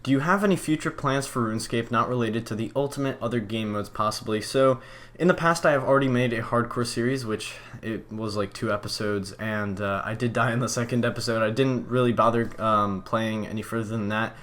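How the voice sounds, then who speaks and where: Relaxed young man rambling through viewer questions. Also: medium pitch, brisk. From Poland. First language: Polish. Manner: relaxed, rambling, many fillers and restarts. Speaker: channel host answering viewer questions. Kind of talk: casual Q&A vlog.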